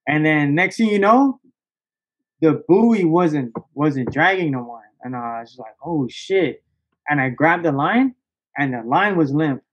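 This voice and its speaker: A man telling a story casually as a podcast guest, in a slangy, excitable way with plenty of swearing. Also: a little high, unhurried, dull audio. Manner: slangy, excitable, profanity-laced, peppered with "bro" and "dog".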